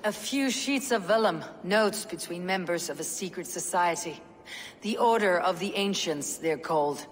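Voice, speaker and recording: A woman playing a stern, resolute Viking warrior in a game cutscene, speaking in a measured, grim, matter-of-fact way. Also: fairly low pitch, a little hiss or hum, natural voice. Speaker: video game lead character. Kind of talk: dramatic game cutscene acting. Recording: reverberant stone hall, music underneath.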